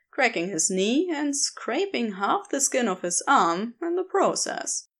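A woman narrates fiction in a fairly high voice that rises and falls in lively swings. She takes her time, reading the scripted story with clear expression. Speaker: podfic reader.

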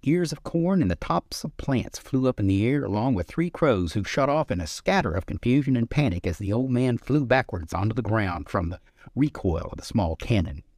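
A man's voice: medium pitch, animated, moderate pace, a little mellow, no room sound, studio quality. A man reading his own literary short story as its narrator.

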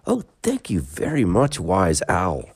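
A man's voice: sits low, wildly swooping pitch, slow, exaggerated voice. A man voicing a small, eager young male rabbit in a storybook tale, talking in a polite, pleading way.

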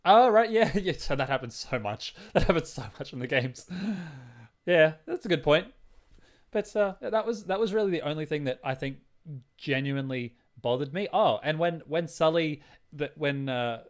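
Chuckly, slightly high-voiced man in casual conversation. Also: animated, moderate pace. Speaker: podcast co-host. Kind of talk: casual film discussion.

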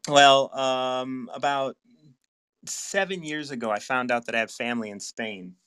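A man's voice: mid-range voice, unhurried, a bit bright, clean tone. A man hosting a casual podcast interview over a video call. He is affirming and enthusiastic and laughs easily. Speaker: podcast host.

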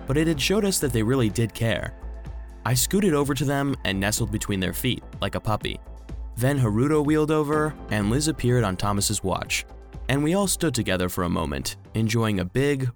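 A man's voice: mid-range voice, animated, normal speed, faint music behind, lightly acted. A man playing a small, devoted household cleaning robot, narrating a children's audio drama earnestly. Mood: earnest, reflective. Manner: earnest storytelling.

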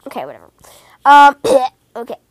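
Female podcast host, very high voice scratchy with a cold, chatty rambling fan talk, dry close sound. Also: moderate pace, dry recording. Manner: giggly, rambling, animated.

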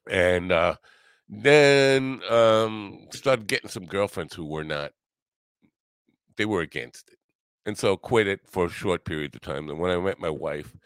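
A man of sixty-three hosting a podcast alone, talking in a casual, halting, meandering way with some swearing and self-deprecation. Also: low voice, clean tone, no room sound. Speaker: podcast host. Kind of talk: casual rambling solo monologue.

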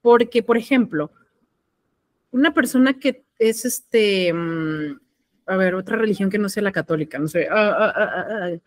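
A woman's voice: medium pitch, a little slow. A young woman with a Mexican accent chatting casually as a podcast co-host, hesitant and full of fillers and slang. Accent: Mexican Spanish. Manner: slangy, hesitant, thinks aloud with many fillers. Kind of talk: casual chat.

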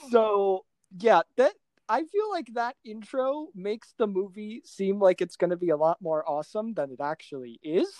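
A man with a clear, smooth voice, recorded dry and close. He talks casually as a podcast co-host, animated and quick to laugh, often backing up to restart a thought. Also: high voice, no room sound. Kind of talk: casual pop culture discussion.

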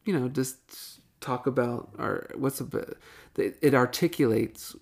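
A man co-hosts a casual podcast in a mid-pitched voice. He is chatty and enthusiastic, often breaking off to rephrase himself. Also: unhurried, vocal fry. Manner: chatty, self-correcting, enthusiastic. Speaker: podcast co-host.

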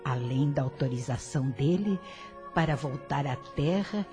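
An actress playing the humble, loving spirit of a dead mother who speaks softly and devoutly in a radio drama. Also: low-pitched, expressive, measured pace, over music. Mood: humble devotion.

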